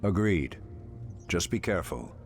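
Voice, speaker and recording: An actor playing an ancient, noble night elf archdruid in a fantasy game, grave and commanding, with a gravelly, solemn, formal delivery. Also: male voice, low voice, lively intonation, measured pace, faint music behind. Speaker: druid leader. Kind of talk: fantasy video game cutscene acting.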